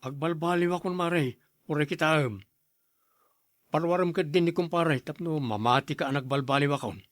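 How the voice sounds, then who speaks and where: A man's voice: fairly high pitch, normal speed, fairly bright tone, no room sound, lightly acted. Man acting a stubborn drunken Ilocano husband, dry sound. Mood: pleading. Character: drunken, stubborn husband who pleads and argues. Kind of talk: Ilocano radio-style drama acting.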